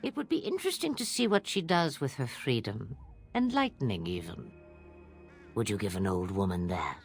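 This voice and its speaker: A woman's voice: rumbling low, sing-song, normal speed, slightly rough, faint music behind, heavily acted. An actress playing a sly, cryptic old witch in a video game, speaking theatrically in riddles. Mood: musing. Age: older. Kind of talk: video game drama acting.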